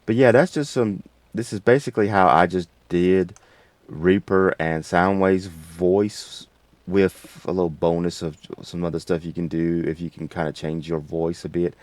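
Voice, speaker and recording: Man, tutorial host, deep voice, casual, unscripted, rambling, folksy, dry close recording. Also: fairly even intonation, normal speed, darkish tone, dry recording.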